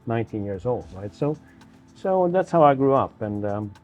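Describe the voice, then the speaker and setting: A man's voice: medium pitch, sing-song, normal speed, darkish tone, muffled recording, faint music behind. A man from Sweden, an investor telling his story in an interview, repeating words and often adding "you know". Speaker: investor, guest. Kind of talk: casual interview talk.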